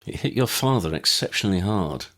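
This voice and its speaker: A man voicing a gentle, courteous older wizard in an audiobook, soft-spoken and old-fashioned in his manner. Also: deep voice, expressive, fairly quick. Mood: poignant. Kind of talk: audiobook character voice.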